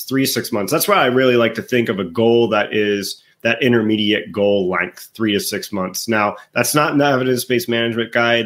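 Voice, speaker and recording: A man's voice: mid-range voice, a bit bright. A man co-hosting a podcast, congested from the flu, rambling as he thinks aloud. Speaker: podcast co-host. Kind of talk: casual podcast chat.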